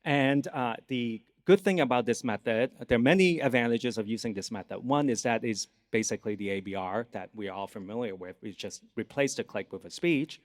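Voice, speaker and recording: A man's voice: medium pitch, fairly quick, few pauses. Cantonese-accented man giving a lecture. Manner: explanatory, checks in with audience. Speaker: guest lecturer, neuroscience professor. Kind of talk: academic conference lecture. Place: conference lecture hall.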